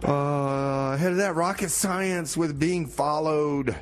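Man playing a vain, highish-voiced radio DJ. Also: slow pace, a crowd in the background. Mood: breezy. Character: self-important, gullible male radio DJ. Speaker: music show host. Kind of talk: comedy radio show banter.

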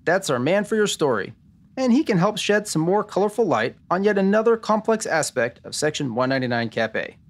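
A man's voice: high-pitched, very animated, fairly quick, exaggerated voice. A man playing a wry, pun-loving detective narrator in a 1940s-style noir radio drama. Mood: friendly, wry. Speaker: podcast host playing himself.

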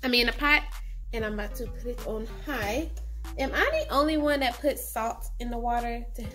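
High-voiced young woman, chatty. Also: lively intonation, moderate pace, a bit bright, soft background music. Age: 20s. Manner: informal, chatty, addresses viewers directly. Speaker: home cooking vlogger. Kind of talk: casual cooking vlog chat. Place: small home kitchen. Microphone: handheld camera at arm's length.

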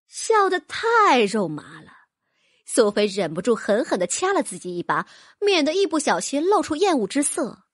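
Woman narrator, high lively voice, expressive storytelling, dry close studio sound. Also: a little slow, no room sound. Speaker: audiobook narrator. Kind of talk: scripted novel narration.

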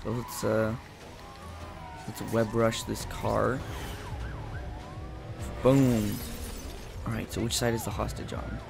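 A man comments on his video game session in a relaxed, chatty way, coaching himself through each move. His voice sits low and sounds rough and gravelly, since he has just woken up. Game music runs steadily behind him. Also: slow pace, pauses often. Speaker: gameplay commentator. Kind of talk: casual let's-play commentary. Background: game music and sound effects.

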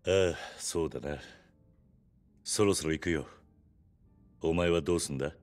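A man playing a stoic, awkward but caring young yakuza in a Japanese game drama, speaking in a terse, gruff, hesitant way. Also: a bass voice, normal speed, a little mellow. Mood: calm, matter-of-fact.

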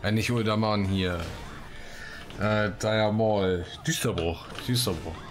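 A man with a very low voice hosts a Let's Play. He talks casually and jokingly, half to himself, and reads quest text aloud. Faint game music runs behind him. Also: slow pace. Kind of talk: casual gaming commentary with read-out quests.

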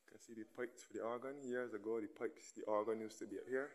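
A man's voice: on the low side, quick pace. Guy doing a walking tour vlog, easy pointing-stuff-out vibe, handheld cam outdoors then inside a church. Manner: relaxed, pointing things out as he walks. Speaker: travel vlogger giving a walking tour. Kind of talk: casual guided walking tour.

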